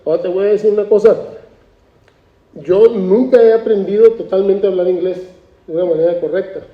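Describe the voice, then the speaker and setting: A man speaks in a fairly high voice, circling back and restarting his sentences as he tells his stories. The recording is roomy and a little dull, as if captured in an event hall. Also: fairly quick. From Mexico, Tijuana border. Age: 60s. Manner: relaxed storytelling with frequent restarts and repeated words. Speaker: artist, book author. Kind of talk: conversational book presentation. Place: cultural institute event room.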